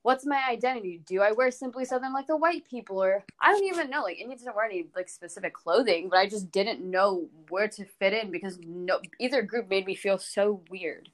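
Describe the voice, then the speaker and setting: A woman's voice: mid-range voice, fairly quick, hardly any pauses. A young woman from the United States with an American accent, sharing personal anecdotes informally and explaining as she goes in a group discussion.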